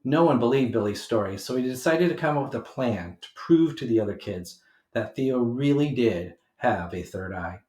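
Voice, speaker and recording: A man narrates a children's story aloud at a middle pitch. His delivery is expressive and emphatic, aimed at young listeners. The homemade recording has noticeable room echo around the voice. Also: normal speed.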